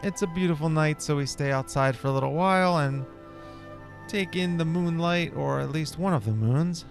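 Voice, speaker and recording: Easygoing man with a gravelly edge, gaming commentary. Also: on the high side, normal speed. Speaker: gaming video creator. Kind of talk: casual game roleplay commentary. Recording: game music behind the voice. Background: video game music and character dialogue.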